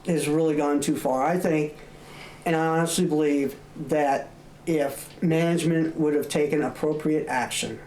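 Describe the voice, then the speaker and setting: An older woman with an American accent answers interview questions off the cuff. Her voice is low for a woman, and it rings in a roomy space with faint noise behind it. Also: fairly even intonation, normal speed, darkish tone. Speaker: retired hospital staffer being interviewed.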